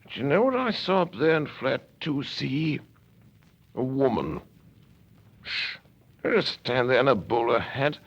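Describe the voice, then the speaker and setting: A man's voice: mid-range voice, animated, measured pace, long pauses, pitched below the performer's own voice. A man playing a drunken upper-class gent who rambles, croons and gossips in a slurred, chummy way, in an old-time radio drama. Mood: gossipy. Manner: slurred, singsong, chummy "old fellow" talk. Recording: dull lo-fi recording.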